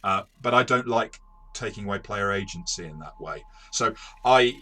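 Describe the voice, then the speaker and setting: A man's voice: on the low side, lively intonation, moderate pace, sharp tone. A man hosting a casual review discussion as a game master, phrasing his points thoughtfully and correcting himself as he goes.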